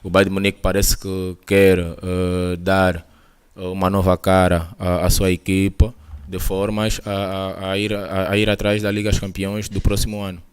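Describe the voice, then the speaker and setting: A man giving football commentary in a hesitant, measured way with many fillers. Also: sits low, flat delivery, smooth. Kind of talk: sports commentary chat.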